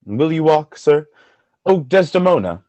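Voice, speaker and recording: A man acting a brooding, commanding lord in a Shakespearean radio play. His mellow, dark voice swings widely in pitch and sounds close and dry, giving curt orders. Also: sing-song, measured pace, no room sound.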